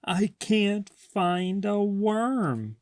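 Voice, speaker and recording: A man's voice: high voice, expressive, extremely slow, pitched above the performer's own voice, heavily acted. A man voicing a small, curious young bird boy who asks earnest questions. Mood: tearful.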